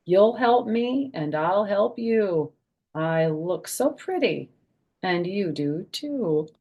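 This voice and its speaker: An older woman doing a children's read-aloud storytime, moving between expressive sing-song reading and soft, gentle asides. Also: mid-range voice, takes it slowly, lo-fi sound. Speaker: storyteller, children's author and former schoolteacher.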